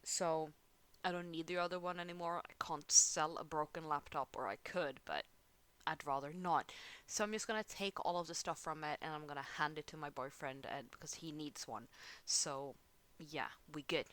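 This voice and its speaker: A woman's voice: sits low, normal speed, fairly bright tone. A woman from Sweden with a Swedish accent, speaking English as a second language, chatting casually into a close headset mic while she draws. She rambles and laughs at herself often. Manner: rambling, giggly, self-deprecating. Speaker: art channel host. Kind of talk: casual chat over drawing. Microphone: headset close to mouth.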